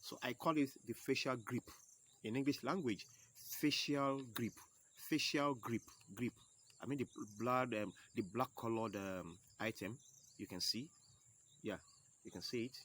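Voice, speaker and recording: A man with a Yoruba accent teaching a home video lesson, going slowly and repeating each key term step by step. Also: mid-range voice, fairly bright tone, slight background noise. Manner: slow, repeats key terms, explains step by step. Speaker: percussion teacher.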